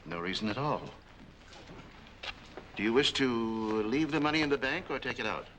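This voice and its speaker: An actor playing a formal, courteous bank official in a 1940s film, with a dry, measured manner. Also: male voice, medium pitch, a bit bright, close to an everyday voice. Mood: brisk, formal. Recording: noisy 1940s film soundtrack.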